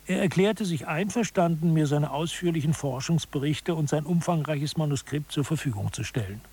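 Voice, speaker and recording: A man's voice: on the high side, moderate pace, a little mellow, lightly acted. A man acting as the orderly, matter-of-fact narrator of a satirical radio adaptation of a management book. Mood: measured, dry. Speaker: narrator of an audio drama.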